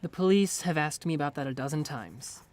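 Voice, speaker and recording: A guarded, weary young bank clerk, acted by a man in a radio play. His voice is a bit high for a man and he answers hesitantly. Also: animated, fairly quick, darkish tone, natural voice. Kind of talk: radio drama acting.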